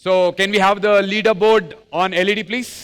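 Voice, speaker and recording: A man's voice: high-pitched, level tone, very slow. A man hosting a dance competition live on a TV stage, with music playing behind him. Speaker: TV show host. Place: TV studio stage.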